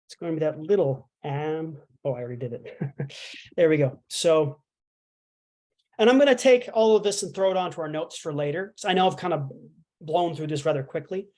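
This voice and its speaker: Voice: medium pitch, brisk, warm tone. Casual, chatty language instructor. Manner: chatty, explains with fillers and playful asides. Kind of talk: casual online language lesson.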